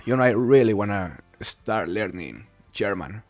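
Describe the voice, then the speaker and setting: A man's voice: fairly low pitch, unhurried, no room sound, faint music behind. A man from Mexico hosting a casual game live stream, chatting with viewers while he plays. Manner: relaxed, chatty, answers viewers while playing. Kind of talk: casual live-stream commentary, reading chat.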